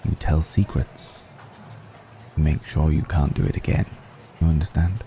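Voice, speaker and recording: A man voicing a suave, menacing vampire noble in an intimate ASMR roleplay, speaking in a genteel, teasing way with drawn-out pauses. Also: extremely low pitch, fairly even intonation, mellow, a little hiss or hum. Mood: quietly threatening. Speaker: voice actor.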